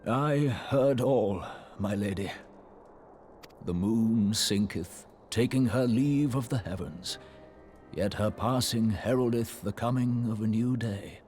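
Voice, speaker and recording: An actor playing a solemn male scholar who speaks in formal, archaic, measured oratory in a game cutscene. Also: fairly low pitch, a little mellow, faint music behind. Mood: solemn, mournful. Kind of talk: video game cutscene voice acting.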